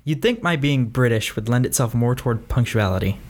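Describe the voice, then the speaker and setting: A man voicing Jake, a gentle young British man in a storybook reading, speaking in a soft, wry way. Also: mid-range voice, expressive, brisk, natural voice.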